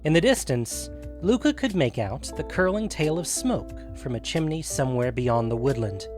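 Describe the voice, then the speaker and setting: A man reading scripted fantasy fiction as a narrator, in a measured, dramatic storytelling manner. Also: a little high, expressive, darkish tone, faint music behind.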